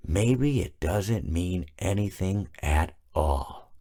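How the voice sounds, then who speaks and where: Stammering, low, philosophical robot rambler. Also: male voice, expressive, warm tone, full character voice. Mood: musing. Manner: halting, stammering, meandering. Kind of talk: rambling monologue.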